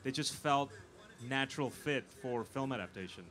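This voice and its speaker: A man speaking as a film producer guest in a live panel interview, pausing and repeating words as he thinks. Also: medium pitch, fairly bright tone. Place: live convention stage. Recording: noisy live event room.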